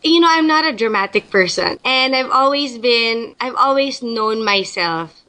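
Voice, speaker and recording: A woman's voice: fairly high pitch, animated, measured pace, bright, forceful tone. A young woman from the Philippines, a guest in an interview, talking casually and thinking aloud with frequent restarts.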